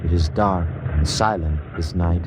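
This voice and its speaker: Very deep male narrator over loud effects. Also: unhurried, background noise. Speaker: scene narrator. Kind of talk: dramatic present-tense scripted narration. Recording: loud sound-effect ambience behind voice.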